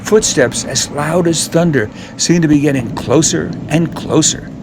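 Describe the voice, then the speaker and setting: A man narrating a scripted children's story, reading aloud in a measured, expressive way. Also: a little high, noisy background.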